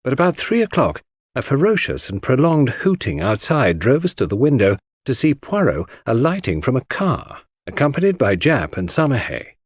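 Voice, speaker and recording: A male audiobook narrator reads a mystery novel dramatically. His pitch rises and falls widely, and his voice has a husky grain. The sound is dry and close. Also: no room sound.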